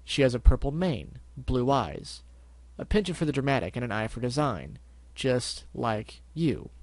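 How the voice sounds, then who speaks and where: A level-headed storybook unicorn stallion, voiced by a man who speaks in a measured, firm tone of calm exasperation. His voice sits at an ordinary middle pitch. The sound is dry and close. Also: no room sound, lightly acted. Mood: serious. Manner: measured, firm, matter-of-fact. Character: calm, serious lavender unicorn stallion, investigator.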